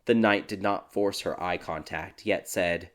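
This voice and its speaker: A man narrating a dark fairy tale audiobook in a dramatic, scripted storytelling style. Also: low voice, fairly even intonation, unhurried. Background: background music.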